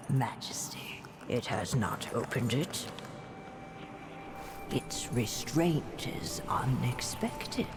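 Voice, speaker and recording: An imperious old alien empress rules this game scene in a very low, swooping voice. Her speech is formal, haughty and regal, set over loud music. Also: exaggerated voice. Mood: astonished, guarded. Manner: slow, formal, regal delivery. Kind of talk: video game cutscene acting.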